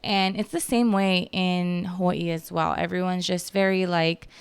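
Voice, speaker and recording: A woman's voice: fairly low pitch, fairly even intonation, a little slow, smooth, no echo. A woman in her thirties from the Philippines with a light Filipino accent, hosting a casual solo podcast in a chatty way full of "like" and "um". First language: Filipino language. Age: 30s. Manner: chatty, lots of "like" and "um", laughs at herself. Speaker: podcast host.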